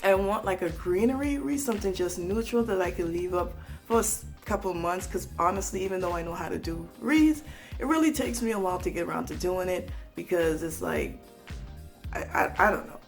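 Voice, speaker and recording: A woman with a raspy, hoarse voice from a cold, chatting casually to viewers in a home haul vlog, rambling with asides. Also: on the low side, fairly quick, soft background music. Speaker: home organizing vlogger.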